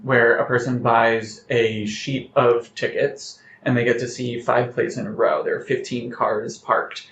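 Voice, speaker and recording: A man with a middle-pitched voice answers as a guest in an interview. The speech is articulate and explanatory, told like anecdotes. The sound is echoey and a little dull. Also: a bit bright. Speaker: playwright guest. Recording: roomy, slightly dull sound with faint noise.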